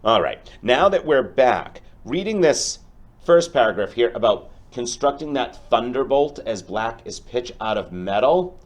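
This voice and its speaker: A man teaching through a storybook read-aloud, speaking deliberately and explaining things directly to his pupils. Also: medium pitch, big pitch swings.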